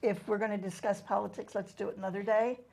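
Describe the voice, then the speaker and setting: A woman's voice: medium pitch, fairly even intonation, fast, creaky, dark tone, breathy edge. A middle-aged woman co-hosting a casual group chat, explaining things at length with frequent ums. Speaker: co-host.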